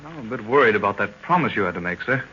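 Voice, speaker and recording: An actor playing an earnest, respectful young male adventurer in a 1930s radio drama, speaking politely and briskly. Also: lowish voice, lively intonation, bright, forceful tone, a little hiss or hum. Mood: earnest, concerned. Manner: polite, deferential, clipped. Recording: muffled old 1930s radio recording.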